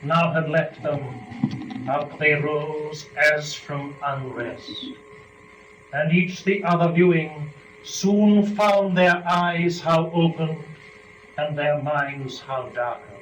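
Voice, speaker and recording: A male narrator gives a solemn dramatic reading of epic poetry. His delivery is very slow and declamatory, broken by weighty pauses. He sounds away from the microphone in an echoey room, with clearly audible noise. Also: a little high, a bit bright, distant mic, lo-fi sound.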